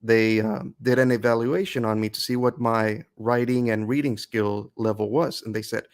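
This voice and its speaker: A man of middle pitch shares his story as an interview guest. He talks thoughtfully, pausing and restarting as he works through his ideas in a steady conversational tone. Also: clear, resonant tone. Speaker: interview guest, English teacher.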